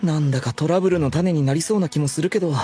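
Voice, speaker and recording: A man's voice: on the high side, very fast, mellow, soft background music, natural voice. A man playing the casual, anxious inner voice of a skeleton overlord in an anime audio drama, musing wryly to himself. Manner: casual, muttering, wry.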